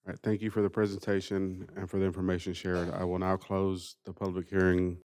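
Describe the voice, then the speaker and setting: A man chairing a school board meeting, running through the agenda with a formal, steady roll-call cadence. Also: low voice, a little fast.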